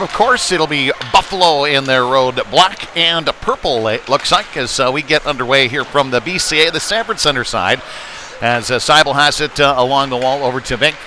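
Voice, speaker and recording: Male voice, live hockey commentary, folksy and hesitant phrasing, noisy indoor arena broadcast. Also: on the high side. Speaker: play-by-play hockey announcer. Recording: live rink broadcast with loud background noise. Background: loud arena ambience.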